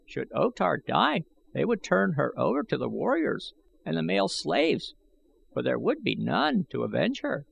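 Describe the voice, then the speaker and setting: A man voicing a bent, eager old man with excitable, old-fashioned pleading speech, as an audiobook character. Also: fairly high pitch, a little slow, gravelly, highs cut off, a little hiss or hum, pitched above the performer's own voice, exaggerated voice. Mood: urgent warning. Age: older.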